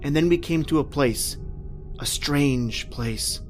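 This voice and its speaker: A man's voice: fairly high pitch, faint music behind. A man acting a desperate, melodramatic stranded family man. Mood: haunted, grave. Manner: melodramatic, halting, repetitive phrasing. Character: distraught family man stranded in prehistoric past, disbelieving, desperate. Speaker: actor and first-person narrator in a horror radio play. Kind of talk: old-time radio horror acting.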